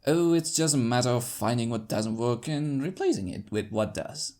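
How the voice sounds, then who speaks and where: A woman's voice: extremely low pitch, lively intonation, moderate pace, warm tone. A woman voicing a mild, thoughtful pony clockmaker who speaks politely and explains things precisely in a story reading. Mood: explaining. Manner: polite, explanatory, slightly pedantic.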